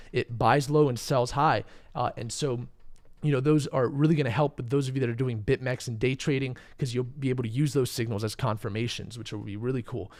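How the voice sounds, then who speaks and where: A young man hosting a live crypto trading stream, a little hoarse and under the weather, talking casually and answering chat questions. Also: mid-range voice. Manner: casual, chatty, uses fillers, reads chat questions. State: losing his voice, a bit sick. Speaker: crypto trading channel host. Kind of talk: live stream market commentary.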